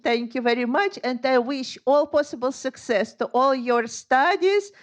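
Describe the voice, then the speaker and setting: Polite woman host, somewhat high voice. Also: moderate pace. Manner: polite, thankful. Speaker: podcast host. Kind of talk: interview wrap-up.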